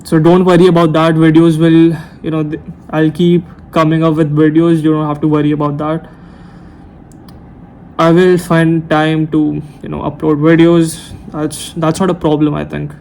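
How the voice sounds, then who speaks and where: Brief: young man around nineteen, unscripted late-night vlog, rambling with restarts, monotone, slightly raised pitch. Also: normal speed, creaky, slight background noise, low-quality recording. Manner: rambling, hesitant, self-correcting. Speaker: YouTuber. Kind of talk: unscripted talk to camera. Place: room at night. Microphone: camera mic.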